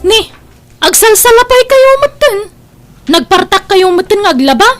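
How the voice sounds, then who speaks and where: A woman's voice: very high-pitched, sing-song, normal speed, soft background music. A woman playing a spoiled, lazy, bossy daughter in her twenties in an Ilocano-accented audio drama, demanding and whiny. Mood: bossy, whining. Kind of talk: amateur radio-style Ilocano audio drama.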